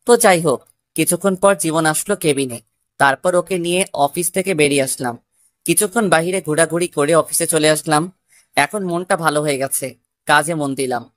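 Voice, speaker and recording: A woman's voice: sits low, moderate pace, no room sound, studio quality. A woman narrating a scripted first-person love story.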